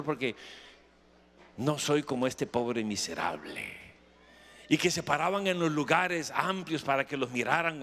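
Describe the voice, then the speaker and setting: Animated Costa Rican preacher, man in a hall. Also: fairly high pitch. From Costa Rica. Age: middle-aged or older. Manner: emphatic, question-and-answer preaching, repeats phrases for effect. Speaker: pastor preaching. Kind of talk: sermon with anecdotes and questions to the congregation. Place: camp meeting hall with congregation. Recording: reverberant hall, long echo.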